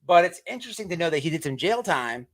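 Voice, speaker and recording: A man hosting an online show with off-the-cuff livestream commentary, halting as he repeats words and restarts. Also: fairly high pitch, big pitch swings.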